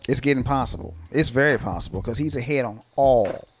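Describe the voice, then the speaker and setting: Man co-hosting with slow emphasis. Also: mid-range voice, soft background music. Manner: slow, drawn-out emphasis with repeated phrases. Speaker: podcast co-host. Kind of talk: casual political chat.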